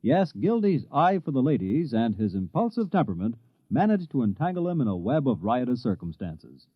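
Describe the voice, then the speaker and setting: A man's voice: medium pitch, big pitch swings, brisk, husky, no room sound. A man announcing a scripted promo in 1950s radio style. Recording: dull, dry old radio recording.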